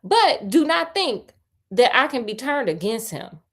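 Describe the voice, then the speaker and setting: Woman reading as emphatic, animated storybook king. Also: fairly high pitch, unhurried, heavily acted. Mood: firm, indignant. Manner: emphatic, formal storybook speech. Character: loyal, trusting storybook king, firm and indignant.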